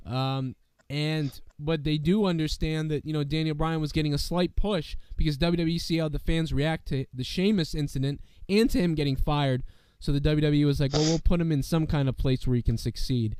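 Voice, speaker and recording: A man's voice: fairly high pitch, brisk, few pauses, darkish tone, clear, resonant tone, no echo. A male podcast host who is sick and losing his voice, talking casually and profanely in a rambling way. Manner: rambling, peppered with "you know what I mean". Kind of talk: casual profane show commentary.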